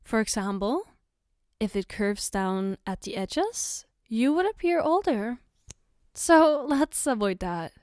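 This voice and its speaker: A woman playing an affectionate, gently teasing girlfriend in a soft-spoken ASMR roleplay, tender and reassuring. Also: fairly high pitch, very animated, dry recording, studio quality. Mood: gentle, attentive. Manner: tender, reassuring, playfully coaxing, unhurried. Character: affectionate, gently teasing girlfriend doing her partner's makeup.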